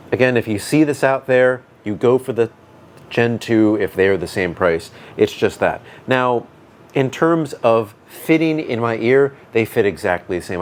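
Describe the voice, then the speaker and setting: Man with a booming low voice. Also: slight background noise. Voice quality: booming deep voice. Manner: conversational, thinks aloud with fillers and restarts. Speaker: tech reviewer. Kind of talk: casual product review to camera. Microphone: dedicated microphone.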